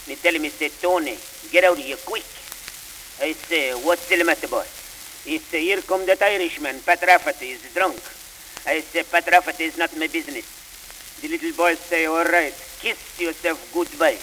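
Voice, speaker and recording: An actor playing an excitable Italian immigrant fruit seller who complains earnestly to the judge in broken English. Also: male voice, on the high side, normal speed. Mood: complaining. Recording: hissy, echoey old record.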